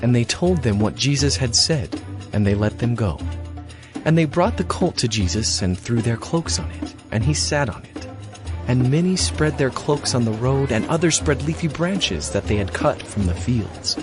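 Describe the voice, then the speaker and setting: A man narrating a dramatized scripture reading in a steady, even way. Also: medium pitch, moderate pace. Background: music.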